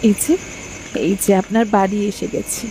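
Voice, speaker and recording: Woman acting young village woman, mid-pitched, courteous, enigmatic, cartoon drama, noisy cricket-filled background. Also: flat delivery, normal speed, warm tone, natural voice. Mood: polite, mysterious. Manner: polite, enigmatic. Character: mysterious veiled young village woman, polite and helpful. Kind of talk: cartoon story dialogue. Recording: clearly noisy night-insect ambience.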